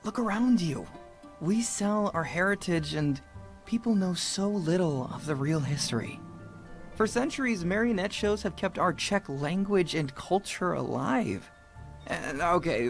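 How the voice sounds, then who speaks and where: An actor playing an earnest young puppeteer with an American accent, halting and sincere as he speaks. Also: a little high, expressive, soft background music. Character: earnest young puppeteer, passionate about Czech heritage.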